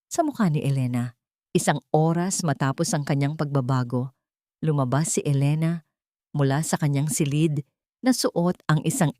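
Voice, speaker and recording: A Filipino woman tells a scripted story with measured drama. Her voice is soft-edged and warm in tone, and her pitch moves expressively across phrases. The sound is very dry, with no room around it. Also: dry recording.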